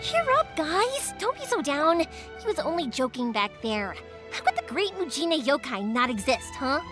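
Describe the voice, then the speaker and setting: A woman's voice: high-pitched, very animated, normal speed. A woman voicing a cheerful, big-sisterly young fireworks maker in a game cutscene, upbeat, playful and encouraging. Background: faint game music.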